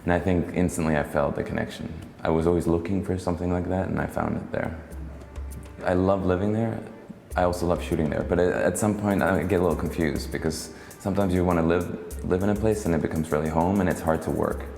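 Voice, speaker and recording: A soft-spoken man who grew up in Hong Kong, a photographer reflecting slowly and haltingly in a documentary interview. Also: deep voice, steady tone, gravelly. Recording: roomy echo with long reverb and faint music.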